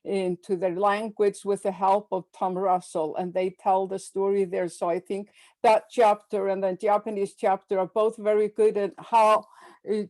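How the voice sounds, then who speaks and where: A woman with a mellow, soft-edged voice walks through book chapters at an online academic event. She speaks haltingly, repeating words and adding uhs, heard over a video call. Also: medium pitch. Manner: halting, frequent fillers and repeats. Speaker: webinar presenter introducing book chapters. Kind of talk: presenting slides at a webinar.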